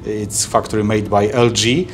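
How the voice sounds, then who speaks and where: A middle-aged man from Poland with a Polish accent, a trade agency executive answering interview questions in halting English full of fillers and restarts, recorded in an office by a camera at a distance. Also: on the low side, fairly even intonation, slight background noise. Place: office, indoors.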